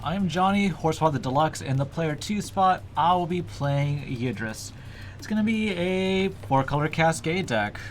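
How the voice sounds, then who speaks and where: A man playing in a casual card game stream, relaxed, laughing often and swearing casually. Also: fairly high pitch, moderate pace, slight background noise. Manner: laid-back, laughs a lot, swears casually. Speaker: player on the stream.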